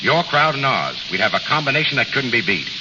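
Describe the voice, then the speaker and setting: Male actor for shrewd American gang leader, radio play; lively intonation, gangster slang, reverberant old recording. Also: mid-range voice, a little fast, close to an everyday voice. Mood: wry, persuasive. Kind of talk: 1930s-40s radio drama acting. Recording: dull, noisy vintage radio recording, long reverb.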